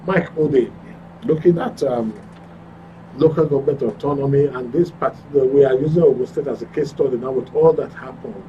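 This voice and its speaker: A man's voice: mid-range voice, brisk, far from the mic, dull audio, noisy background. A man with a Nigerian accent presenting current affairs as the host of a talk programme. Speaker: radio and TV host.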